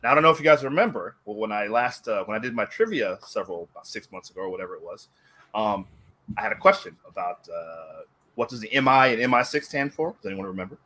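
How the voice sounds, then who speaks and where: A man's voice: fairly low pitch, lively intonation, quick pace, bright, forceful tone. A man chatting casually as a panelist on a movie talk show, speaking in an enthusiastic, digressive way. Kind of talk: casual rambling chat.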